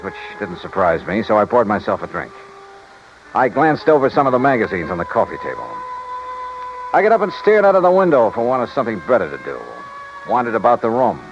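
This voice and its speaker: A man playing a skeptical private investigator in an old radio detective drama, with a wry, clipped way of questioning and narrating. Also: lowish voice. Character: hard-boiled, skeptical male private investigator, dryly probing. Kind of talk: 1950s-60s radio detective drama, first-person narration. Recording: dull old radio recording, music behind.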